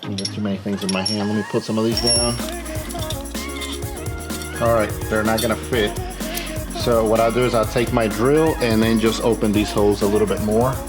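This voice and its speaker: A man's voice: fairly low pitch, steady tone, moderate pace, pauses often, over loud music. A man hosting a casual how-to video, thinking aloud informally as he works through an installation. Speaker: host of a car modification tutorial. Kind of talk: casual hands-on how-to narration while working.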